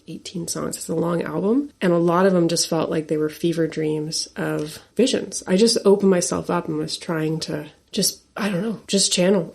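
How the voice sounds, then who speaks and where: A woman in her forties, a singer-songwriter guest, telling a personal story in an earnest, animated way with laughs and fillers. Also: sits low, creaky. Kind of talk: storytelling interview talk.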